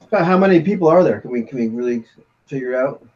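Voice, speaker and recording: A man playing a tabletop game, talking quickly and eagerly. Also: medium pitch, lively intonation, darkish tone, highs cut off, slight background noise. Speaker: player. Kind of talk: casual tabletop play.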